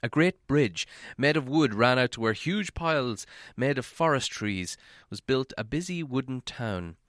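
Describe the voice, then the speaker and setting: A man's voice: mid-range voice, animated, a little slow, fairly bright tone, no echo. Dry-recorded male storybook narrator. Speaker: audiobook narrator. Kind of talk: scripted storybook narration of a fantasy novel.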